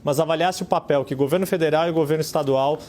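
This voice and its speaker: A man's voice: on the high side, steady tone, racing, a little mellow. A man who is a news reporter, asking long, polite, detailed questions in a live interview.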